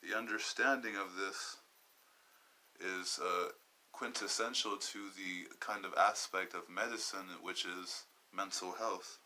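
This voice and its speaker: Low-voiced, sharp-toned young man. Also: fairly even intonation, a little hiss or hum, rough recording. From Southern California. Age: 20s. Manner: halting and wandering, searching for words. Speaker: podcast host. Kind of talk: personal podcast monologue.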